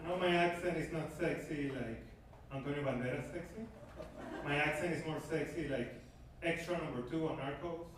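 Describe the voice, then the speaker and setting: A man in his thirties from Venezuela with a Venezuelan Spanish accent, doing an animated stand-up comedy set on a club stage with a handheld mic. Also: a little high, normal speed. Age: 30s. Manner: animated, shouting punchlines. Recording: echoey club from far off, noisy.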